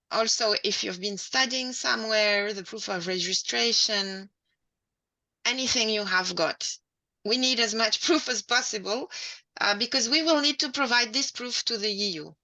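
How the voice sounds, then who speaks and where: A woman hosting an online session gives applicants clear, direct instructions. Her voice sits a bit high, with a bright, forceful edge and a gravelly fry underneath. She works through the required documents one by one. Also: low-quality recording. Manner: clear and direct, listing items. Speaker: host of the information session. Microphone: video call.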